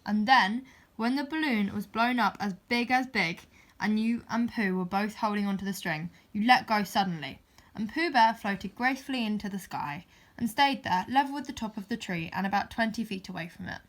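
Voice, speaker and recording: A woman's voice: mid-range voice, moderate pace. A woman narrating a children's storybook as its reader.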